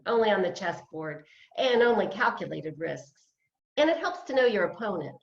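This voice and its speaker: A woman's voice: medium pitch, expressive, moderate pace, vocal fry. A woman playing a self-deprecating, hesitant retired woman of fifty-eight, a beginner dancer, in a play read over video call. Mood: wry, reflective. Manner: hesitant, wry, chuckling. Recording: roomy, dull video-call audio.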